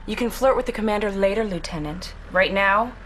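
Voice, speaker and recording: A woman's voice: mid-range voice, fairly quick, a bit bright, slight background noise, natural voice. Blunt officer, voiced by a woman, clipped. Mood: stern.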